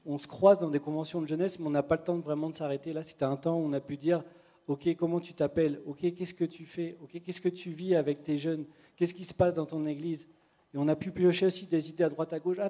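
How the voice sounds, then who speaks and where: A man speaks in a fairly high voice with a mellow, warm tone. He gives a relaxed, chatty church testimony as a youth leader and leans on easy fillers. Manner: relaxed, conversational, frequent fillers.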